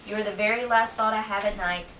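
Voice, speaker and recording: Bright-voiced woman reading in a roomy space. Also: mid-range voice, fairly even intonation, fast talker. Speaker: reader at a public library event. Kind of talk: dramatic reading of old love letters aloud. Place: library room, reading to a small gathering. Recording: roomy, distant event recording with faint noise.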